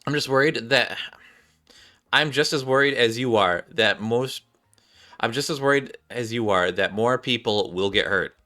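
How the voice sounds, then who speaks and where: A man's voice: mid-range voice, normal speed, sharp tone, faint music behind. A man reading game cutscene dialogue aloud, now and then stumbling over words and rereading them.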